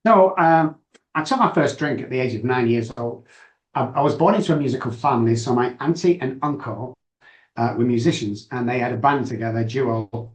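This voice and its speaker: A man's voice: medium pitch, roomy sound, poor audio. A middle-aged man with a Manchester accent, a guest telling his story in a chatty, self-assured way. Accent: Mancunian. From England. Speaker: guest, addiction doctor. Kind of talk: candid interview storytelling.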